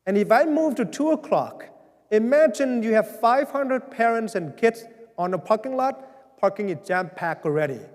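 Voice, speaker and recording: A parish pastor with a Vietnamese accent speaks to his congregation in a high voice, earnest and pleading, with a wry, gentle humor. The sound has the long, lingering reverb of a large church. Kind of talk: town hall address to parishioners.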